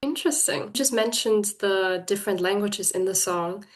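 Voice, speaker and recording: A woman's voice: mid-range voice, moderate pace. A young woman interviewing a music artist, enthusiastic and chatty like a fan.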